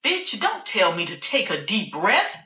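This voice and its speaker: A woman's voice: mid-range voice, wildly swooping pitch, measured pace, bright, forceful tone, roomy sound, heavily acted. A woman voicing a volatile, furious woman who curses in explosive outbursts.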